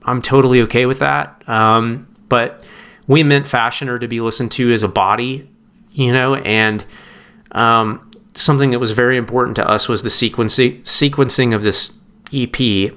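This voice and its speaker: Male musician guest, smooth clear voice, reflective and halting, casual interview delivery. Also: medium pitch, steady tone, bright, forceful tone.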